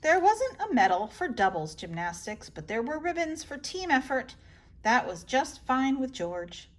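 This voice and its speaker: A woman reading children's bedtime stories aloud as a library story-time host, in an animated, expressive manner. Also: on the high side, a little hiss or hum. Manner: animated, asks the young listeners questions.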